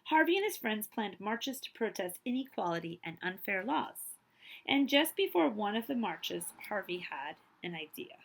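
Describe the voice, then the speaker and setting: A woman with noticeable vocal fry reads a picture book aloud in the role of a librarian. Her phrasing is expressive and emphatic, with deliberate pauses and casual asides to young listeners. Her pitch sits in an average range. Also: low-quality recording. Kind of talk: children's read-aloud storytelling with casual asides.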